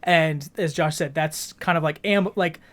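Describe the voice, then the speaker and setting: A man's voice: fairly high pitch, unhurried, fairly bright tone. A man hosting a podcast, explaining a video game in a casual, articulate way and steering the discussion. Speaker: podcast host.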